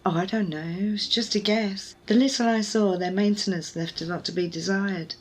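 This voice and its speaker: A woman's voice: mid-range voice, fairly even intonation, normal speed, close to an everyday voice. A woman playing a wry, evasive young rescuer in an intimate ASMR audio roleplay, with a sardonic, hesitant manner. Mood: awkward, reassuring. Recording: noisy ambience behind close voice.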